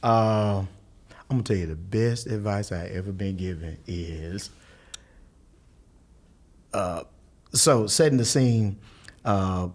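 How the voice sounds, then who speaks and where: A man's voice: takes it slowly, long pauses. A middle-aged man from Louisville, a musician and guest telling stories in casual conversation. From Louisville, Kentucky. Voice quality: mellowed former high singing voice. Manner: animated storyteller, quoting others with voiced impressions.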